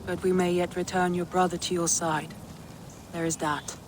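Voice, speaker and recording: A woman's voice: fairly low pitch, flat delivery, moderate pace, noisy background, close to an everyday voice. An actress playing a grave, brooding female Viking jarl in a video game cutscene.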